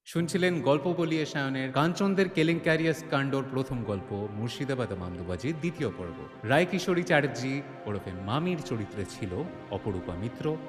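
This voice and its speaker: A man's voice: mid-range voice, animated, brisk. A man narrating an audio drama as a suspenseful, theatrical storyteller. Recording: echoey, long reverb, music behind. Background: music.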